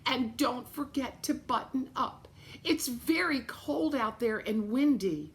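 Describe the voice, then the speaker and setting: A woman's voice: fairly high pitch, animated, slow. A woman voicing a sick, tired mother in a storybook, tender and fretful. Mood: tender concern.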